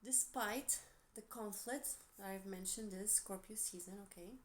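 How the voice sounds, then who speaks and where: A woman with a Portuguese accent, gentle and encouraging, giving a tarot reading with soft asides and self-corrections. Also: mid-range voice, unhurried. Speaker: tarot reader.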